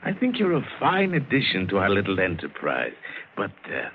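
Casting: scheming smuggler, lowish voice, animated and falsely polite, old radio thriller, thin band-limited sound. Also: male voice, phone-quality sound, a little hiss or hum. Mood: oily, polite. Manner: suave, deliberate, falsely courteous. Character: smooth, scheming male gun-runner, politely menacing. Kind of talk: 1950s radio drama acting.

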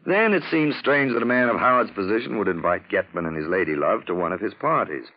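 Suave, husky, low-voiced radio detective. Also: male voice, expressive, fast talker. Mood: amused, self-satisfied. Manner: urbane, theatrical, drawling explanations in lettered points. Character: suave, witty, self-satisfied gentleman detective. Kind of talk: 1940s radio drama acting. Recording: dull, muffled vintage radio recording.

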